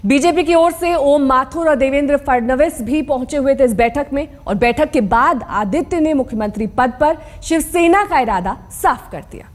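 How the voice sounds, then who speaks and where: A female news anchor reads the bulletin with a high voice. Her delivery is crisp and brisk, in a formal studio style.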